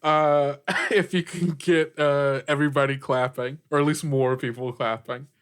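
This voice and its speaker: A man improvising narration as game master of a tabletop game, informal and searching for his words in a halting way. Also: fairly high pitch, fairly even intonation, a bit bright, clear, resonant tone.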